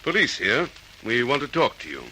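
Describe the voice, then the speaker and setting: An actor playing a brisk, authoritative police detective in an old radio drama. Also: male voice, mid-range voice, big pitch swings, natural voice. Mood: pressing. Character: persistent, firm male police detective interrogating suspect. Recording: old radio recording with hiss.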